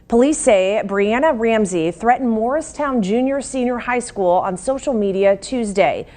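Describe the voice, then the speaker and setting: A woman's voice: mid-range voice, darkish tone. An American woman anchoring live television news, reading weather and headlines.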